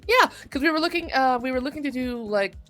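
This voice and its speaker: A woman voice actor being interviewed, chatting casually during a video game in an excitable, halting way, often interrupting herself. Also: high voice, soft background music. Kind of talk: casual chat while playing a video game.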